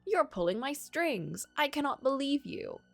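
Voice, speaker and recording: Woman reading as a broke unsure writer guy in a story game, old-fashioned wording, expressive, faint music. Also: sits high, measured pace, gravelly, no room sound, pitched above the performer's own voice, exaggerated voice. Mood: disbelieving. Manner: formal, literary, wondering. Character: poor, doubting, melancholy male writer narrating his misfortune.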